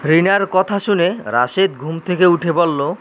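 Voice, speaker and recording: A man's voice: high voice, measured pace, a bit bright. A man giving scripted narration for a cartoon story.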